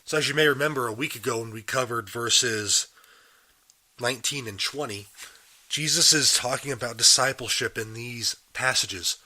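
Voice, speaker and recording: Male podcast host getting over a cold: hoarse, bright and pressed, earnest teaching with questions to the listener. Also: medium pitch, moderate pace. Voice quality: raspy. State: recovering from a cold, raspy. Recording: live and unedited.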